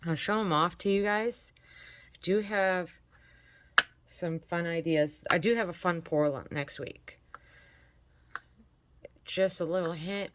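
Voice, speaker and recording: A woman's voice: on the low side, slow pace, long pauses. Congested, chatty woman crafting host. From Michigan, USA. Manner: chatty, encouraging, talks while working. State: congested from allergies. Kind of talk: casual crafting tutorial chat.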